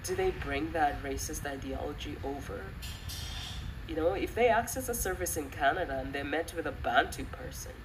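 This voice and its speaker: A young woman giving personal commentary in an earnest, reflective way, halting with pauses. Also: low-pitched. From Western country, of Rwandan descent. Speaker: channel host giving commentary. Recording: distant mic, clearly noisy background.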